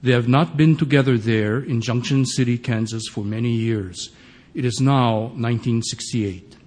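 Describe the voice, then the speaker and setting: A man's voice: mid-range voice, normal speed, a little mellow, big-room sound. Man reading a formal play introduction. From Hawaii. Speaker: professor presenting a play.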